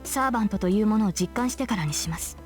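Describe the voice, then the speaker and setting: A woman's voice: medium pitch, animated, racing, a little mellow, soft background music, natural voice. A woman playing a serious, formal young knight in a Japanese visual novel. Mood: stern.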